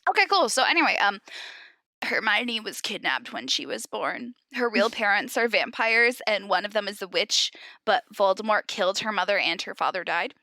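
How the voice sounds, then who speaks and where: A woman's voice: medium pitch, animated, normal speed, sharp tone, no room sound. A woman co-hosting a comedy podcast, giggly and exclamatory, moving between casual chat and mock-dramatic story reading. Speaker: podcast co-host.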